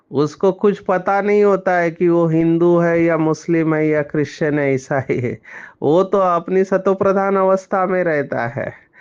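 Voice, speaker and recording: A man delivers a spiritual discourse at a measured, very slow pace. His voice sits a little high for a man and rings smooth and clear. The recording sounds slightly muffled. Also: a little mellow. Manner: slow, explanatory, mixing in English words. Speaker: spiritual teacher.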